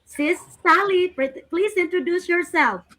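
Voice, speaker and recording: A woman's voice: very high-pitched, measured pace, pushed and bright. A woman hosting a livestream and introducing guests in an effusive, welcoming way. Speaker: host of a live online show.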